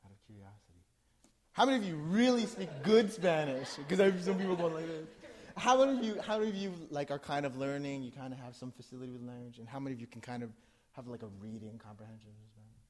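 A man's voice: a little high, lively intonation, fast, a little mellow. Middle-aged man from New Jersey reciting poetry. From New Jersey, USA. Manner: conversational, asks the audience questions, then recites with rising intensity. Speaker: spoken word poet. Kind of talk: live poetry reading with talk to audience.

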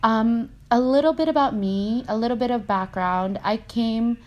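A woman in her thirties from the Philippines with a Filipino-American accent, speaking thoughtfully with many fillers and pauses as a poet. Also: fairly high pitch, highs cut off. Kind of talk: casual spoken reflection.

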